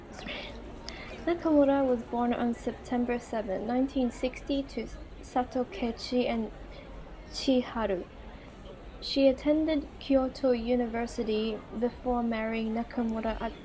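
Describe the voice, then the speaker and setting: A woman casually commentating on a game and reading its text aloud, with expressive reactions. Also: fairly high pitch, background noise. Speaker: gaming video host. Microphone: earphone mic.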